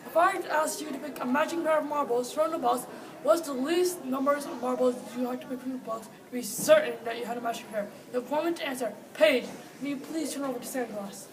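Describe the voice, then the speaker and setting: A female reader playing a proud king who runs a contest, reading the script haltingly. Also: high voice. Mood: commanding. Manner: halting reading with repeated words. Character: proud male king running a contest of wits. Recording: distant mic, audience chatter.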